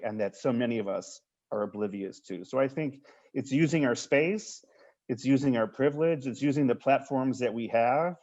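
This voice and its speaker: A man's voice: mid-range voice, a little mellow, dull audio. A man speaking emphatically on a panel, hammering points with repeated phrases over a video call. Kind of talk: passionate panel discussion.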